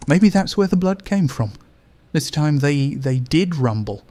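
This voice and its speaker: A hesitant, musing friend. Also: male voice, fairly high pitch, expressive, unhurried, mellow. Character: thoughtful, worried young man confiding in a friend. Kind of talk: audio drama acting.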